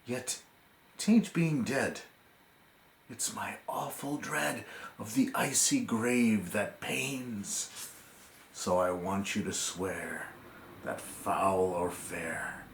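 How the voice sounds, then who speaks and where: A dying prospector, chilled through, as acted by a male poetry reader. He pleads slowly in weak, halting moans. The sound is roomy and echoing, like a storyteller's recital. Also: on the low side, vocal fry, slight background noise, exaggerated voice. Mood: weak, pleading. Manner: slow, moaning, halting. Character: dying freezing gold prospector, low, moaning, pleading.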